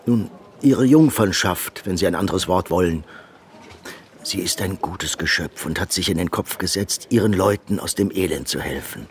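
A worldly, persuasive painter in a radio drama, pitched on the low side. He is coolly matter-of-fact and insinuating as he deals. An ambient noise bed runs behind the voice. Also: moderate pace. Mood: confiding, matter-of-fact. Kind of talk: German radio drama acting.

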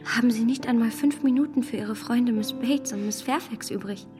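A woman plays a well-bred, lively young lady in a radio drama. Her voice is fairly high, polite and expressive, set in a long reverb with music behind it. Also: moderate pace, close to an everyday voice. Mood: disappointed.

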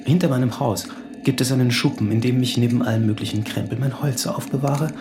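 An actor playing a solitary, wry intellectual, the last human alive, in a measured literary radio drama monologue. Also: male voice, mid-range voice, close to an everyday voice. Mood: wry, tender. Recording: loud music behind the voice. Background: music.

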